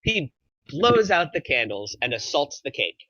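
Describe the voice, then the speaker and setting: A man's voice: a little high, big pitch swings, unhurried, bright, forceful tone, no echo. A man reading scripted stage directions as the narrator of a screenplay table read. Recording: slightly dull, dry recording.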